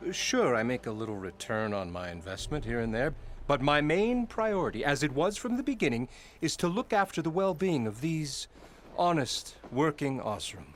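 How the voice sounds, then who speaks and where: An actor playing a pompous, self-important labour boss in a fantasy video game, bombastic, theatrical and mock-courteous in manner. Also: male voice, mid-range voice, very animated, moderate pace, slight background noise, natural voice. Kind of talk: video game character acting.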